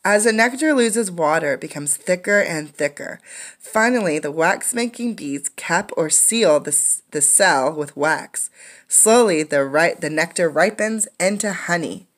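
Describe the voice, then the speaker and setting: A woman's voice: lowish voice, lively intonation, unhurried. A woman teacher reading a children's nonfiction book aloud, adding explanatory asides for young listeners.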